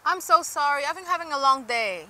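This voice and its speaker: A young woman co-hosting a vlog in casual, polite talk. Her voice is high and bright. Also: expressive, brisk. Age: 20s. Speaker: vlog co-host.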